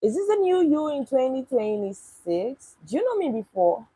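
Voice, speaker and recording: A woman's voice: sits high, big pitch swings, moderate pace, dark tone, slightly rough, poor audio. A young woman, live-streaming casually and answering chat comments bluntly, with swearing. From Nigeria.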